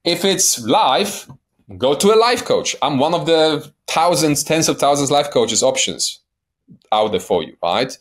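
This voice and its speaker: A man's voice: on the high side, lively intonation, a little slow. Blunt Polish-accented man coaching emphatically. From Poland. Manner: keeps checking in with "right?". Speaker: guest life coach. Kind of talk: podcast interview.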